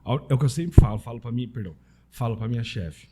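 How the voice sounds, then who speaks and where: Mellow, husky Brazilian man chatting. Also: medium pitch, animated, fast. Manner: informal, anecdotal, peppered with tag questions. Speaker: podcast guest, Italian citizenship specialist. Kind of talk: casual conversational interview.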